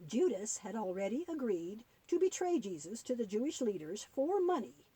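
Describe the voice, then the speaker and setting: A woman voicing a kindly, patient old granny puppet who tells Bible stories to children in a halting, gently instructive way. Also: a little high, sing-song, slightly rough, voice pushed down. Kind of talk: puppet-show storytelling for children.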